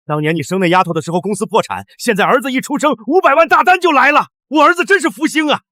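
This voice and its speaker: A man's voice: extremely high pitch, animated, fast talker, pushed and bright, dry recording, full character voice. An actor playing a harsh, callous middle-aged father in a melodramatic short drama. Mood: callous, smug.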